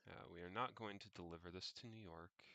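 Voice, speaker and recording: A man casually thinking aloud as he narrates his screen on a livestream, pausing mid-sentence and muttering to himself. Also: sits low, pushed and bright, no room sound. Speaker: solo livestreamer.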